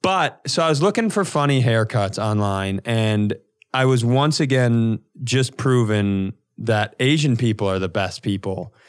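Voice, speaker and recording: A man's voice: mid-range voice, measured pace. Rambling, profane man telling comic stories. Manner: rambling, profane, animated exaggeration. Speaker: comedy podcast co-host. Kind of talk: casual comic storytelling.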